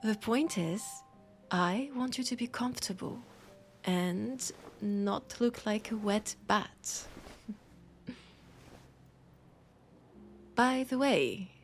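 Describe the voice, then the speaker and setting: A woman with a French accent playing a warm, teasing, caring bookseller in an intimate roleplay audio, speaking softly and hesitantly with pauses. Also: mid-range voice, faint music behind. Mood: fussing, caring. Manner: soft, hesitant, gently teasing, unhurried with pauses. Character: warm, teasing, worried mortal bookseller woman, fond of a vampire.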